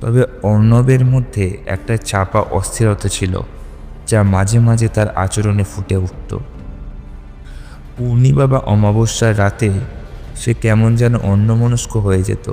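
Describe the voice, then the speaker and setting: A man's voice: fairly low pitch, steady tone, a little slow, warm tone. A man narrates a scripted horror story. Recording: long reverb with music underneath. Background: music.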